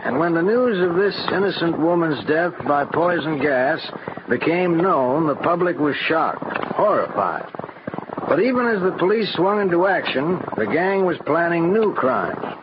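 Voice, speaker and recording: A solemn coroner recounts a crime case with formal drama. His pitch is somewhat high, on a dull, noisy 1940s radio recording. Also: expressive, measured pace. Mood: grave, dramatic. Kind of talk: 1940s radio scripted narration.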